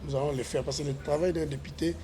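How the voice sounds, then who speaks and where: A man's voice: on the high side, fairly even intonation, fast talker, warm tone, a little hiss or hum. A man, a parliamentary candidate answering interview questions with earnest, repetitive appeals to voters. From Congo.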